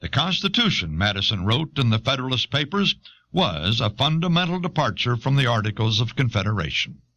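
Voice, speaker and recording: A man reading scripted documentary narration in a measured, explanatory manner, on an old cassette recording. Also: mid-range voice, sing-song, sharp tone, muffled recording. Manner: measured, expository.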